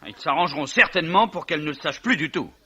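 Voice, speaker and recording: An actor playing an earnest, fussy young English gentleman, plaintive and polite, in 1950s French radio drama style. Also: on the high side, expressive, quick pace, full character voice. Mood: flustered. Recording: dull, slightly rough old radio recording.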